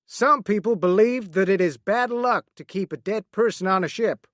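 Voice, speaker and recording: A man voicing a stern male sea captain who shouts his orders to the passengers. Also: high voice, normal speed, no room sound, clean studio sound, voice pushed up. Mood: grave recounting. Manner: shouting orders over a storm.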